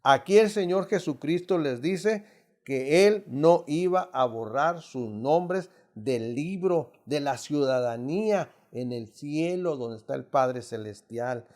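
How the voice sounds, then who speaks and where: A man's voice: on the high side, animated, slow, darkish tone. A middle-aged man from Mexico with a Mexican accent, teaching an adult Bible study in an emphatic, preacherly way, constantly addressing the listeners as brothers. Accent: Mexican Spanish.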